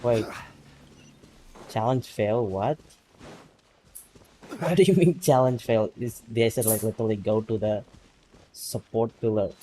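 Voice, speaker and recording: A man streaming a game and reacting in a laid-back, casual way. His voice is mid-pitched with a dark, mellow tone. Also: unhurried, pauses often, a little hiss or hum.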